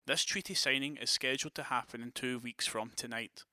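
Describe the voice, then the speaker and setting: A man's voice: medium pitch, steady tone, a little fast, pushed and bright, dry recording, studio quality. A man playing a commanding, decisive vampire prince in an audio drama, with a formal, authoritative manner.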